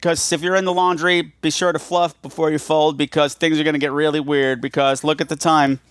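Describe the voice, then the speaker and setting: Campy guy hosting a horror podcast, slightly high voice, theatrical and chatty, dry close sound. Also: level tone, fairly bright tone, dry recording. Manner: campy, theatrical, drawn-out emphasis. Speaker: podcast host.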